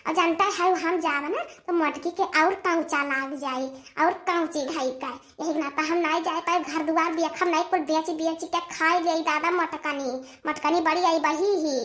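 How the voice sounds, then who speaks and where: A woman acts out an old village mother-in-law for a cartoon, with an extremely high, bright voice and Awadhi accent. She stretches her words as she dotes and grumbles, coaxing one moment and complaining the next. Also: steady tone, moderate pace, few pauses. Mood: doting, grumbling.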